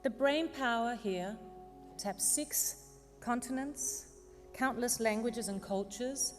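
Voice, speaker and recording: A woman's voice: a little high, slow. A woman delivering a formal, prepared speech as an event speaker. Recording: reverberant hall, faint music underneath. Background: faint music.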